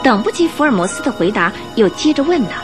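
A woman's voice: fairly high pitch, sing-song, fast talker, over music. A woman narrating a radio drama in a scripted, dramatic storytelling style.

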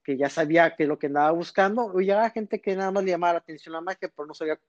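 A man's voice: a little high, racing, no echo, lo-fi sound. A man, a guest telling stories at length in a casual podcast interview, full of fillers. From born in Texas, raised in northern Mexico. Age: 30s. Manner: rambling, storytelling, frequent fillers. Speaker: guest magician.

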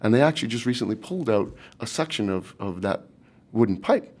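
Rambling low-voiced man, light Boston accent. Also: expressive, fairly quick, a little mellow, breathy edge. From Boston, USA. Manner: rambling, many fillers and restarts. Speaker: startup team member. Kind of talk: casual interview talk.

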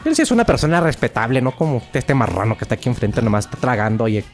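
A man's voice: mid-range voice, big pitch swings, fast, faint music behind, pitched below the performer's own voice. A man voicing a crude old fortune-teller woman with a Mexican accent, scolding and insulting the others in a mock-mystical way. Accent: Mexican Spanish. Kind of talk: improvised comedy sketch.

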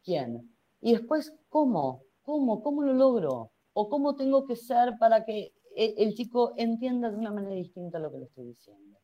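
A woman's voice: on the high side, big pitch swings, moderate pace, highs cut off. A woman psychologist with a Rioplatense Spanish accent, answering in a radio interview and talking through ideas by asking herself rhetorical questions. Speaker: psychologist guest.

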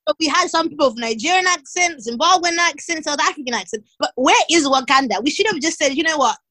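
High-voiced woman, dry close sound. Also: animated, quick pace, pushed and bright, dry recording. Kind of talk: casual chat among friends.

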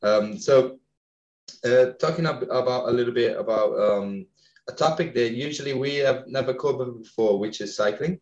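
Italian-accented male host, halting delivery, echoey dull recording. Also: medium pitch, darkish tone. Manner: hesitant, many fillers, searching for words. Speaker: podcast host. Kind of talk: podcast interview.

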